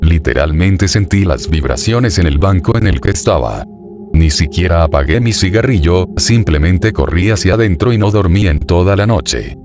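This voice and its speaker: A man narrating a first-person horror story in a steady, even reading voice. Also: sits low, normal speed. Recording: narration over clear background music. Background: background music.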